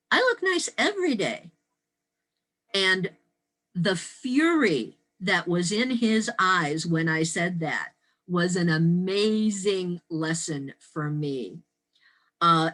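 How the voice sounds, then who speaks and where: An American woman in her sixties giving a prepared talk as a storyteller, with deliberate, emphatic phrasing and dramatic pauses. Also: on the low side, fairly bright tone. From Detroit, Michigan, United States. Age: 60s. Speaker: storyteller and speaker. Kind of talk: prepared historical talk with personal stories.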